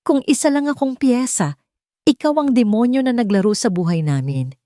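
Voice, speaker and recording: A woman voicing a hurt young heroine who shouts through tears, pitched higher than her narration. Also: expressive, normal speed, dry recording, close to an everyday voice. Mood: anguished.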